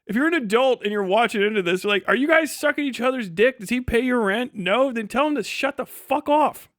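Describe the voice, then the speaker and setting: A man's voice: very high voice, animated, fairly quick, a bit bright, dry recording, clean studio sound. A man giving casual livestream commentary in a profane, blunt, sardonic way. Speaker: livestream host.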